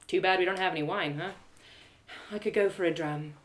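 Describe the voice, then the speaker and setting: A woman playing an icy, troubled female warrior in a fantasy audio drama, speaking in a terse, reluctant, halting way. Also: fairly low pitch, fairly even intonation, natural voice. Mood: brooding.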